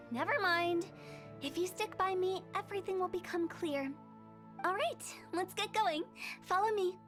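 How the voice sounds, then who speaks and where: A mischievous young woman who runs a funeral parlor, with a very high, bright voice and a teasing, macabre sense of humor. Soft game music plays under her. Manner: teasing and playful, with morbid humor. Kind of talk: game voice acting.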